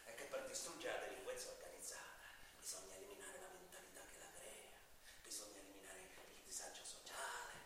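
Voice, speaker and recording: A man's voice: medium pitch, lightly acted. A man playing a bitter, defiant vigilante prisoner in a stage monologue, confronting a judge with sarcastic, rhetorical questions. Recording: echoey noisy hall from far off.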